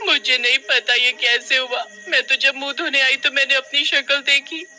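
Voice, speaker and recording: A man's voice: extremely high pitch, flat delivery, normal speed, pushed and bright, soft background music, voice pushed up, full character voice. A man voicing a vain young sister-in-law in a cartoon story, preening and wailing. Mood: distraught.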